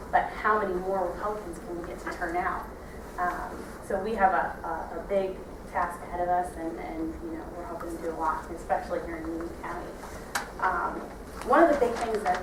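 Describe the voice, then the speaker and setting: Young wisconsin woman, low-ish voice, lots of ums, far from the mic. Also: background noise. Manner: halting, many fillers and restarts. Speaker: party trainer. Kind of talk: training presentation. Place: meeting room. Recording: echoey room, mic far off.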